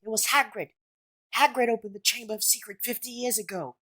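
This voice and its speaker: A woman's voice: on the high side, a little fast, fairly bright tone. A woman voicing an earnest, curious schoolboy in an audio drama, with an urgent manner. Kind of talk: fan audio drama acting.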